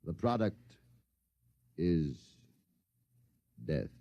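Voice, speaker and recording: An actor playing a coldly polite, menacing male Martian invasion leader in 1950s radio style, speaking with measured, mocking courtesy. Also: low voice, very animated, no echo, highs cut off, exaggerated voice. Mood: smug.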